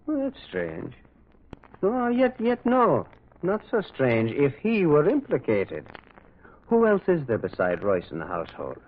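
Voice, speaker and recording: An actor playing a gentle, inquisitive priest detective in an old radio drama, hesitant and pondering, often repeating words. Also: male voice, a little high, huge pitch swings, unhurried, exaggerated voice. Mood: probing. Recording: hissy narrow-band vintage radio recording.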